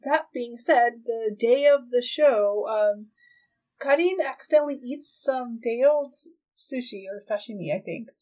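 A woman with a fairly high voice hosts a casual podcast chat. She talks in a halting, loosely structured way with a giggly streak, as if retelling a show to a friend. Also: darkish tone. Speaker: podcast host. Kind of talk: casual chat, recapping an anime.

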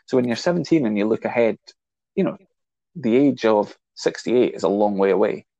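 A man's voice: on the low side, animated, normal speed, mellow, dry recording, lo-fi sound. A man in his forties co-hosting a casual film chat, explaining his ideas at length and teasing his younger co-host. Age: 40s. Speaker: podcast co-host.

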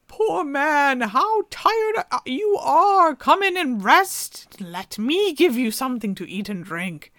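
Brief: coaxing old woman in a fairy tale, drawn-out and cooing, read by a woman, dry close sound. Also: high voice, sing-song, fairly bright tone, dry recording, pitched above the performer's own voice, exaggerated voice.

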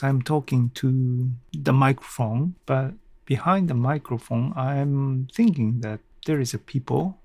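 A middle-aged man hosting a casual solo podcast, thinking aloud haltingly in non-native English phrasing. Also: mid-range voice, a little mellow, no echo. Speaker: podcast host.

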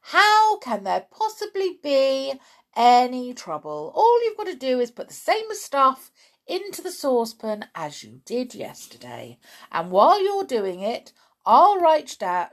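A woman voicing an excitable, overbearing father in a children's story, exclaiming with bossy, impatient enthusiasm. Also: high-pitched, unhurried, pitched above the performer's own voice, heavily acted. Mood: eager, bossy. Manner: exclamatory, bossy, breathlessly eager.

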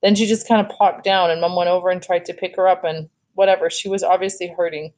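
A woman's voice: fairly low pitch, fairly even intonation, fast talker, a little mellow, natural voice. A woman voicing an emotional, protective older sister in a children's novel, casual and earnest as she explains. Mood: upset, impatient.

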